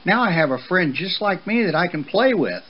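A man's voice: a little high, expressive, unhurried, muffled recording, pitched above the performer's own voice. A man voicing a young, eager, easily hurt colt in a children's storybook, boyish and earnest. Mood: delighted.

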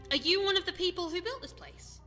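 A woman's voice: extremely high pitch, fast talker, sharp tone. A woman playing a curious, earnest young female companion, with music behind. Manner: earnest and inquisitive. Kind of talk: fan audio drama acting. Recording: long reverb with music behind.

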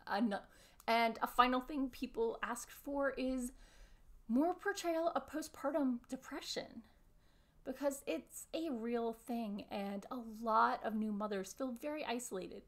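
Higher-voiced woman explaining earnestly. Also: unhurried. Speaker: video presenter summarizing a discussion panel. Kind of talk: explanatory talk to camera. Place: small furnished room at home, indoors.

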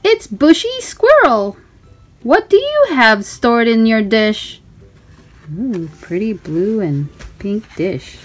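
A woman storytime host reading a picture book aloud for children, with expressive, sing-song questions and exclamations. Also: fairly high pitch, slow, soft background music.

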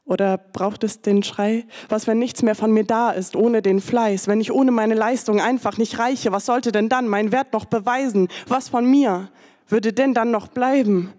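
A young woman performing her own poem at a poetry slam on a dark stage, delivered rhythmically and ironically into a stand microphone. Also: on the high side, fairly even intonation, moderate pace, long reverb tail. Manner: rhythmic, ironic, rising to intense. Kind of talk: spoken word performance.

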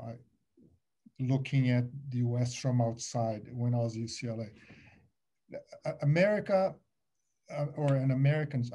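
Mellow-voiced middle-aged man on a video call. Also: medium pitch. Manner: animated, gesturing, restarts and many fillers. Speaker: alumni panelist. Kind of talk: online panel talk. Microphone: laptop webcam on a video call.

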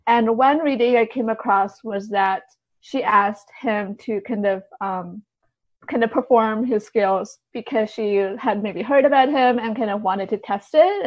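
A woman's voice: fairly high pitch, a little slow, no echo, muffled recording. A woman speaking as a podcast guest in a casual literary discussion, informally hedging her points with many small filler phrases. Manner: hedging, informal, repeats "kind of" and "like".

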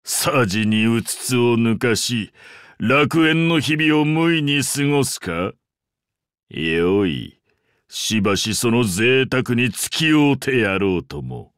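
Imperious old sea god, video game character, pompous archaic diction, very animated intonation, dry close sound. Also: male voice, mid-range voice, clear, resonant tone, dry recording. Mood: solemn, musing. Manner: pompous, archaic, deliberate speech. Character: ancient, imperious male sea-god father, grandly indulgent. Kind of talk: Japanese game character voice acting.

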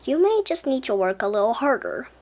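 Reader doing a caring but firm mom in a kids story, high voice, very expressive woman. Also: fairly quick. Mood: gentle, reassuring. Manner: reassuring but instructive. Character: caring, firm mother talking to her young son.

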